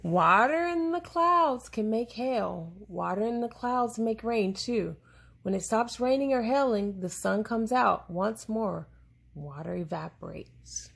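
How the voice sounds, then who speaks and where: Slow-reading woman with a creaky voice. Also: on the high side, animated. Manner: deliberate, explaining, pausing to answer questions. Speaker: parent reading a picture book aloud to a child. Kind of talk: read-aloud of a children's science book with chat to a child.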